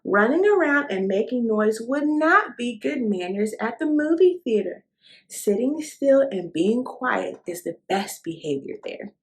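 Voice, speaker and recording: A woman's voice: on the high side, very animated, a little slow. A woman reading a picture book aloud to young children, speaking in an encouraging, instructive way and addressing the listener directly. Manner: encouraging, instructive, asks the listener questions and affirms. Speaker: host of a children's read-aloud show.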